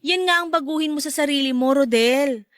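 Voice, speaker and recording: A woman's voice: high voice, brisk, no echo, clean studio sound, lightly acted. A woman playing an emotional, whiny girlfriend and mother in an audio love-story drama, with a Filipino accent and dramatic delivery. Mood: tender, reassuring. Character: emotional girlfriend, a mother, venting about her ex.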